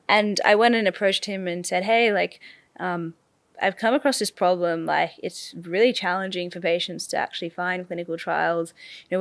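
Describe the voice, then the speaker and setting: A woman's voice: fairly low pitch, no echo. A young adult woman, born in Sri Lanka, answering interview questions casually with lots of fillers. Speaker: startup founder, guest.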